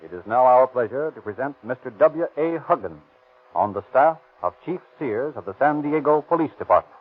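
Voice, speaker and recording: A man's voice: medium pitch, animated, moderate pace, over music. An American man announcing and narrating a scripted 1930s radio show in a formal, emphatic manner. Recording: tinny 1930s radio recording.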